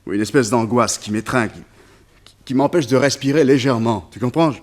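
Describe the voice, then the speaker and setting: A man's voice: mid-range voice, fairly quick, close to an everyday voice. An actor playing an anxious, haunted man in a French radio play, earnest, brooding and confiding. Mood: anguished. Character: anxious, haunted man, devoted to his pet tiger.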